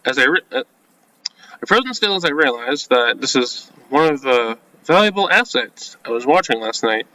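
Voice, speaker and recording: A man's voice: medium pitch, lively intonation, moderate pace, sharp tone, poor audio. A man reading a visual novel game script aloud, mixing in informal asides and casual commentary as a let's-player. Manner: informal, reacts with asides between read lines.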